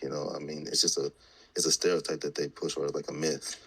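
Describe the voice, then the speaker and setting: A man's voice: extremely low pitch, flat delivery, brisk, creaky, husky. A man speaking hesitantly with many fillers in a group discussion. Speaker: discussion participant.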